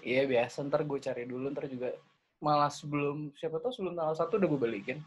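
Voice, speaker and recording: Higher-voiced Jakarta man over background noise. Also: fast, muffled recording. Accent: Jakarta Indonesian. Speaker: podcast host. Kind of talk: casual chat, prank phone call.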